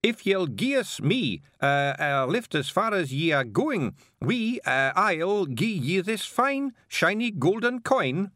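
A man voicing a bossy, irascible little bearded Scottish creature posing as a gentleman, blustering and scolding as he goes, for a storybook. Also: high-pitched, sing-song, slow, hardly any pauses, dry recording, studio quality, pitched above the performer's own voice, heavily acted. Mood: halting, grandly polite.

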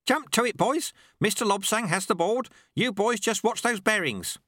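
A man voicing a bossy, urgent old monk in an audiobook, barking orders in a commanding, shouting manner. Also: high voice, lively intonation, moderate pace, no echo, clean studio sound, voice pushed up, exaggerated voice. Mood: brisk, commanding. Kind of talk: audiobook character voice.

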